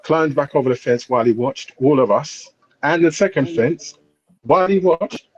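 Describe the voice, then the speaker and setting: A man's voice: on the high side, lively intonation, moderate pace, darkish tone, highs cut off. A man who grew up in England, a panel guest telling stories and checking in with his listeners. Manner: anecdotal, checks in with listeners, drops his voice then rises. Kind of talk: storytelling in a panel discussion.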